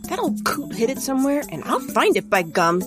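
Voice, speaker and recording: A quirky, folksy woman announcer, played by an actress reads comic credits. Her voice is on the high side and swoops playfully, with music clearly underneath. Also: very animated, fairly quick. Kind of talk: scripted comedic credits read.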